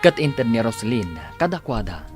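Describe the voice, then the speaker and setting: A man announcing and narrating a radio drama from a script in a deliberate, dramatic manner. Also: mid-range voice, sing-song. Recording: music under the announcer.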